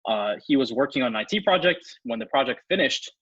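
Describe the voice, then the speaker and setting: A man from Ethiopia, a guest on an interview podcast, talking quickly and casually. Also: mid-range voice, expressive, a bit bright, husky, no room sound, lo-fi sound. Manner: fast, fluent talker with many fillers and restarts.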